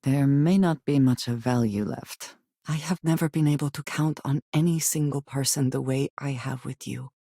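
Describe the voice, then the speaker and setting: A woman voicing a guarded, devout space adventurer and loyal companion in a video game, speaking in formal, measured diction. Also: very deep voice, fairly even intonation, dark tone, no room sound, studio quality. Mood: earnest, tender. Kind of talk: video game character dialogue.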